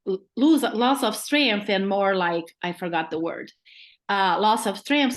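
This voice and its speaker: A woman's voice: medium pitch, fairly bright tone. A woman doctor from Brazil with a Brazilian Portuguese accent, a guest on a podcast who explains step by step and keeps checking in with "right?". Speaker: guest physician.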